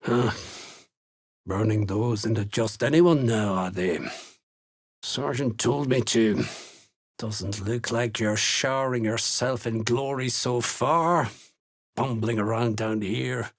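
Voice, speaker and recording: An actor playing a surly, menacing dwarf slave hunter in a video game, sneering and blunt with a gravelly, husky voice. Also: male voice, mid-range voice, slow. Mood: surly, sardonic. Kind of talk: video game character voice lines.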